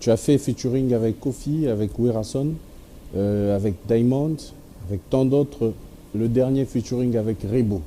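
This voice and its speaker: A man's voice: mid-range voice, normal speed, warm tone, slight background noise. A man with a Congolese French accent hosting a television interview, addressing viewers formally and switching between French and Lingala. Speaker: TV show host.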